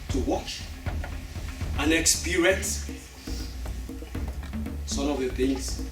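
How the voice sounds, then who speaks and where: Dramatic stage narrator in an echoey hall. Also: male voice, medium pitch. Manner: dramatic, addresses audience directly. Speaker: narrator introducing a stage play. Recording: echoey hall from far off, music behind.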